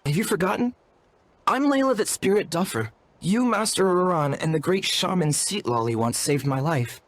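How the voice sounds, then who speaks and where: A man's voice: high voice, expressive, moderate pace. An actor playing an earnest, courteous and wordy young shaman apprentice in a video game, speaking in a formal, polite manner. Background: music.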